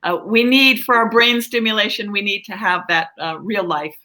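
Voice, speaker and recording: A woman's voice: medium pitch, fairly even intonation, normal speed, bright, forceful tone. A middle-aged or older woman, a guest children's author, chatting casually and explaining things a little hesitantly. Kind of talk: casual interview chat.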